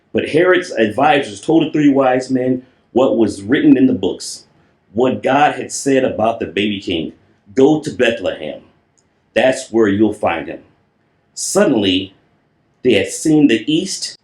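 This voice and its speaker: A man's voice: medium pitch, darkish tone. A man reading a children's storybook aloud in a playful, theatrical way, stretching and repeating words for effect. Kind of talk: animated storybook read-aloud with playful asides. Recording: roomy, echoing voice recording.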